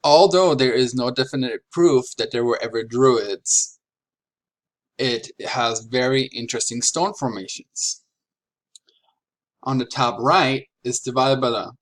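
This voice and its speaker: Slow-talking man giving a presentation. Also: mid-range voice. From Franconia, Germany. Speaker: graduate student presenter. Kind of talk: prepared slide presentation.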